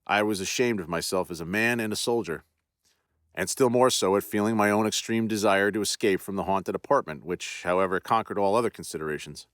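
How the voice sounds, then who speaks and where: Shaken proud general, formal and earnest; low voice, dry close sound, read by a man. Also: fairly quick, a bit bright, dry recording, lightly acted. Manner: earnest, formal period speech. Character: shaken proud male army general confessing terror.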